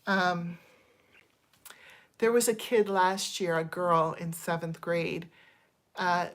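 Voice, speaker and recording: Chatty woman in her fifties, lowish voice. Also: little pitch movement, takes it slowly. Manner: chatty and digressive, thanking viewers mid-story; candid, frustrated complaints with frequent ums. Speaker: channel host. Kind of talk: casual livestream chat.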